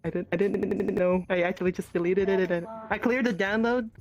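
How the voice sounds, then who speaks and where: A woman's voice: mid-range voice, steady tone, fairly quick, vocal fry, a little mellow, dull audio. A woman chatting casually on a live gaming stream, flustered, apologetic and hesitant. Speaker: host of a virtual-reality roleplay livestream. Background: music.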